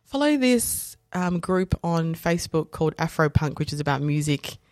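A woman's voice: sits low, normal speed, dry recording, studio quality. A woman, a podcast guest telling stories in casual conversation, full of fillers and self-corrections. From South Africa, raised in Australia. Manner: animated storytelling, acts out other people's lines.

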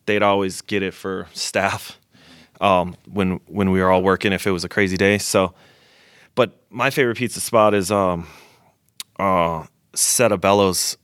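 A man's voice: low-pitched, fairly even intonation, a little slow, fairly bright tone, no room sound, clean studio sound. A man who works as a chef, chatting enthusiastically on a podcast and often repeating words as he starts a sentence. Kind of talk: casual podcast chat.

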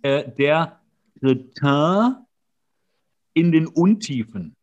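A man co-hosting a podcast in casual, humorous banter, often mock-serious and halting as he corrects himself. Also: a little high, lively intonation, a little mellow, highs cut off. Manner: mock-serious, tongue-in-cheek. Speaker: podcast co-host.

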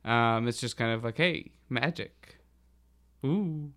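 A man chatting casually as a podcast host, with a halting, slangy delivery. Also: mid-range voice, fairly bright tone. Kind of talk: casual chat about a TV show.

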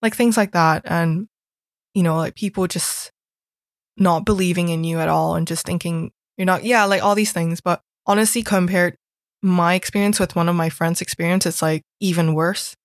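Young woman from hong kong, lowish voice, rambly chatty storytelling, lots of "like". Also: fairly even intonation, normal speed, no echo, clean studio sound. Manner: chatty storytelling, heavy use of "like". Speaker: guest recalling school experiences. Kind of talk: casual chat.

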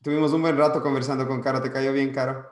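A man's voice: medium pitch, flat delivery, fast talker. An upbeat man co-hosting a casual interview, introducing and questioning a guest with enthusiasm. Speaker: podcast co-host. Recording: echoey room.

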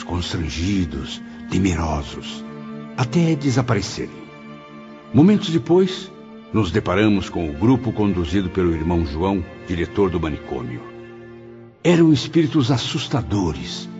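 Espírito arrependido, voz grave e expressiva. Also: male voice, low-pitched, exaggerated voice. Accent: Brazilian. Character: Camilo, sorrowful repentant male spirit recounting his afterlife. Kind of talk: dramatic radio drama narration. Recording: muffled radio drama with loud music.

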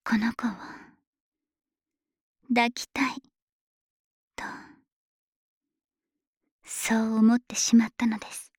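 Female actor as a dragon maid, high breathy whisper, slow pace, polite servant phrasing, possessive and menacingly doting, ASMR drama. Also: monotone, dry recording, clean studio sound. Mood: gloating, doting. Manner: slow, honorific servant speech, softly teasing. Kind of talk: Japanese ASMR situation voice drama. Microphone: close microphone, dry studio recording.

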